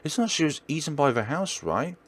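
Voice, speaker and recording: Casting: male reader as a jittery young woman estate agent in a horror game, dramatic, exclaiming, lively swings in pitch. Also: brisk, breathy edge, full character voice. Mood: anxious disbelief. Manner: dramatic, exclaiming, talking to herself.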